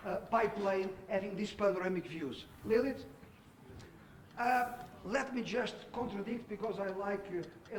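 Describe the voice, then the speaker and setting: A middle-aged man talks through his slides at a conference. He speaks haltingly, full of "uh"s and restarts, and now and then quizzes the audience. A faint hum of room ambience sits under his voice. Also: high voice, poor audio. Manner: halting, many fillers and restarts, asks the audience questions. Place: conference hall.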